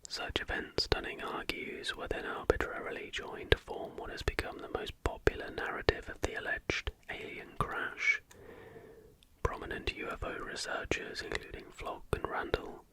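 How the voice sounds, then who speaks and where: A man reading a factual script as ASMR in a soft, breathy, deliberate whisper, close to the microphone. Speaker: ASMR host. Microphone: close recording microphone.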